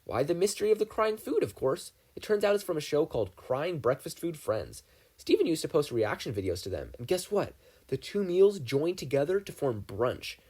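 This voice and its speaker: A man's voice: on the high side, very animated, normal speed, dark tone, slightly rough, voice pushed up. A man voicing an eccentric, distracted older scientist uncle in an audiobook reading, cheerful and rambling. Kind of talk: fan fiction audiobook reading.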